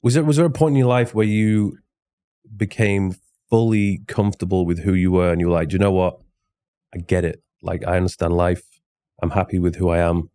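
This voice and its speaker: Male podcast host, low and warm, dry close sound, asking halting, restarting interview questions. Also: fairly even intonation, no echo. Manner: restarts questions, brief back-channel replies. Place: studio in Dubai.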